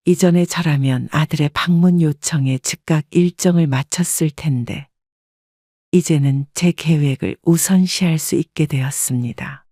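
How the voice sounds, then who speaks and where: A woman narrating a first-person story in a calm, measured way. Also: sits low, no room sound, clean studio sound.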